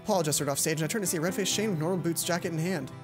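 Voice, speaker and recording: A woman's voice: lowish voice, racing. Dramatic woman narrating over music. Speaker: let's play host. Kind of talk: visual novel read-aloud with casual commentary.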